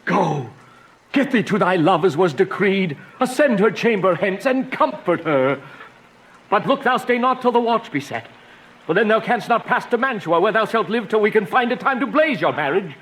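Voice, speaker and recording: A man's voice: high voice, lively intonation, moderate pace, a bit bright, slight background noise, rough recording. A man playing a stern holy friar giving counsel in a Shakespearean radio drama, with emphatic, exhorting theatrical delivery.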